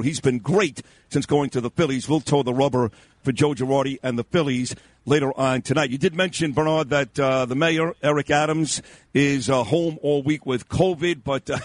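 Brash talk radio host. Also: male voice, mid-range voice. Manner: brash, joking, rapid asides. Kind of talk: talk radio chat and sports updates.

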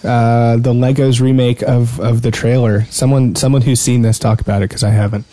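Bright-toned mellow man co-hosting a podcast. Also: medium pitch, level tone, moderate pace. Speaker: podcast co-host. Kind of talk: casual podcast banter.

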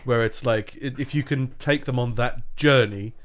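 A man's voice: medium pitch, normal speed. Casual podcast co-host, a man who rambles and restarts his thoughts mid-sentence. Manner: rambling, thinks aloud with many restarts. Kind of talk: casual chat.

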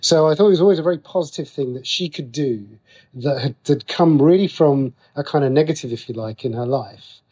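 Male interview guest, middle-aged, slightly high register, talkative and discursive, conversational delivery. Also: brisk, a little mellow, breathy edge. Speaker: writer and radio drama creator, interview guest. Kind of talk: casual interview talk.

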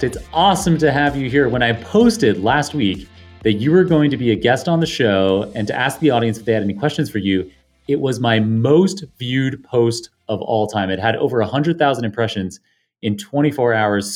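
A man hosting a tech podcast, reading an enthusiastic scripted introduction and then chatting casually with his guest. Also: medium pitch. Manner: enthusiastic and effusive. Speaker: podcast host. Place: home studio, guest on a remote call.

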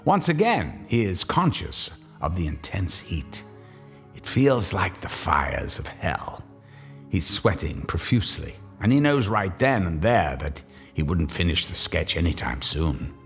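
Deep-voiced dramatic radio narrator. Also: male voice, big pitch swings, a little slow, a bit bright, soft background music. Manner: dramatic, suspenseful storytelling.

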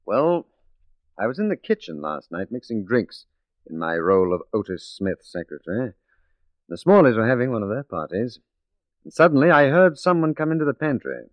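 An actor playing a resolute, righteous detective in a 1940s radio drama, speaking in a measured, emphatic, theatrical way. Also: male voice, medium pitch, big pitch swings, dry recording, close to an everyday voice. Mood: resolute, grave. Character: resolute, righteous male detective working undercover as a secretary. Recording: muffled 1940s radio recording.

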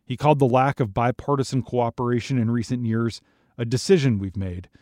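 An American man narrates scripted news as a podcast host. His voice sits a little high and is recorded very dry, with no room sound around it. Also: fairly even intonation, fairly quick, a little mellow, dry recording.

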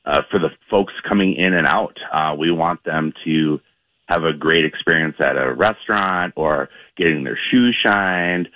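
A man with a very deep, low voice giving informal promotional answers as a radio guest. The audio is dull and narrow, like a call-in, with no room sound. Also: a little slow, dry recording. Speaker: interview guest, airport commission representative.

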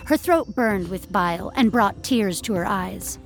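A woman's voice: medium pitch, a little slow, faint music behind. A woman narrating scripted fiction as a storyteller.

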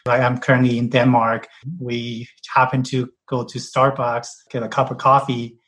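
Measured man from Taiwan, finance blogger. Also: mid-range voice, completely flat delivery, fairly bright tone, low-quality recording. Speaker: personal finance blogger and podcast guest. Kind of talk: casual panel discussion.

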